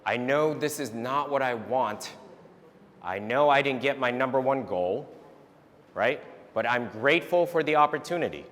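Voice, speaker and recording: A man whose first language is Vietnamese, giving an animated motivational talk to parents and often ending points with a quick "right?". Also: medium pitch, slight background noise. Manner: animated, tags statements with "right?". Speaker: speaker giving a talk on resilience. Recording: long hall reverb.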